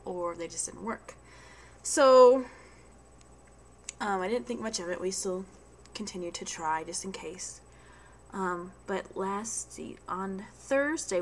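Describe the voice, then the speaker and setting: A young woman talks to her camera at home, stuffy and congested with a head cold. Her voice sits a touch low with a gravelly fry, and she rambles with many ums and restarts. A faint hiss sits under the recording. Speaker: vlogger. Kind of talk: casual video diary.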